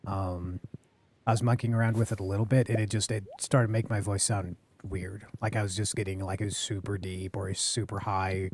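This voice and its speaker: A man streaming a farming game, chatting casually into a close boom-arm mic, rambling and apologetic, with a deep voice he keeps low. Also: little pitch movement, fairly quick, darkish tone, a little hiss or hum. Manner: rambling, self-correcting, apologetic, talks low. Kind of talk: casual live-stream chat while gaming. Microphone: studio mic on a boom arm, close to the face.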